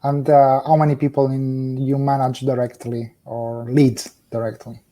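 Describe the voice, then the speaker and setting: A man's voice: mid-range voice, level tone, moderate pace, mellow. A man hosting an interview podcast over a video call, asking short questions with some fillers. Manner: friendly, brief prompts with fillers. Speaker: podcast host.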